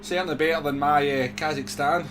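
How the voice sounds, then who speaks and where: A man's voice: fairly high pitch, fairly even intonation, brisk, background noise. A man hosting a gaming livestream, chatting casually and replying to viewers as he goes. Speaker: livestream host. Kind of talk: casual gaming stream chat.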